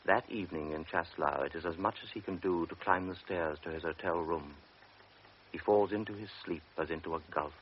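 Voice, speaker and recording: A man narrates a radio drama with grave, measured storytelling. His voice is very low. The recording is dull, with steady hiss behind him.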